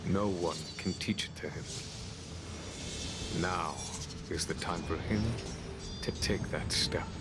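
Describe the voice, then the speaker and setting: An actor playing a calm, wise general in a video game, speaking in a measured, solemn, assured way. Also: male voice, sits low. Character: calm, wise male general, steadfast mentor. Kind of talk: dramatic video game voice acting. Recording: loud music under the voice.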